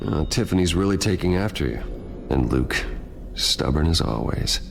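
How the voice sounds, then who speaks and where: An actor playing a calm, wry billionaire vigilante in video game dialogue, talking in an understated, dryly friendly way. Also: male voice, rumbling low, moderate pace, creaky, slight background noise, full character voice. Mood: calm, warm. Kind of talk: video game dialogue, low-key conversation.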